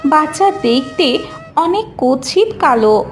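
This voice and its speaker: Female storyteller for animated moral tale, high voice, slow scripted delivery. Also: faint music behind. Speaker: narrator. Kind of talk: scripted children's story narration.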